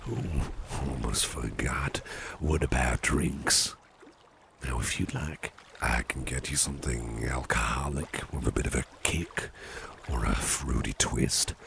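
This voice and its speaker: An extremely deep, crackly voice from a man acting as a caring, indulgent businessman boss in an ASMR roleplay. He murmurs softly and takes his time, coaxing and reassuring as he repeats little phrases. Clear watery background noise sits behind the voice. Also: heavily acted. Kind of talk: soft-spoken ASMR roleplay.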